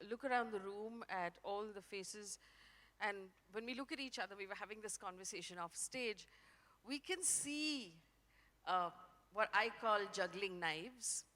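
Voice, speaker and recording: A woman in her forties with an Indian English accent, a journalist speaking on stage, articulate and measured. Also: medium pitch, bright, forceful tone. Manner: articulate, measured, light laughs. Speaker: journalist guest. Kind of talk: conference fireside chat. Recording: reverberant conference hall.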